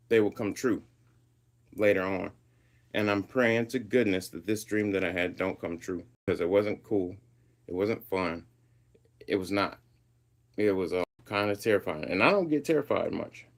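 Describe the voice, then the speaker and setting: Casual streamer, male, Swahili first language, lowish voice, loose slangy delivery while he reads viewer messages. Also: gravelly. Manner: laid-back, reads and answers live chat, loose slangy talk. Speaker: livestream host. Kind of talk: casual livestream chat.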